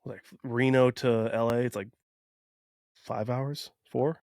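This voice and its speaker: A man's voice: medium pitch, fairly even intonation, slow, vocal fry, darkish tone, dry recording. A man from Wisconsin hosts a podcast in an easygoing, joking way, often poking fun at himself. His voice sits in a middle register. It is recorded very dry, with no room sound at all. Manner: joking, self-deprecating. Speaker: podcast host. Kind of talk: casual chat.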